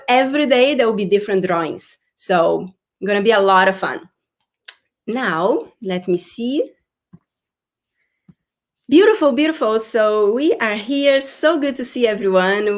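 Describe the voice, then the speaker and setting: A middle-aged woman from Brazil with a Brazilian Portuguese accent, hosting a live online workshop in an effusive way, often repeating her words. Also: fairly high pitch. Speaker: workshop host. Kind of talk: live-stream workshop talk.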